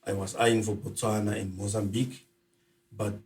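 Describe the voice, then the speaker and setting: Soft-spoken man from Zimbabwe, hesitant. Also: on the low side, flat delivery, moderate pace, distant mic. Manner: hesitant, many filler sounds. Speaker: guest. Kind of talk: conversational interview.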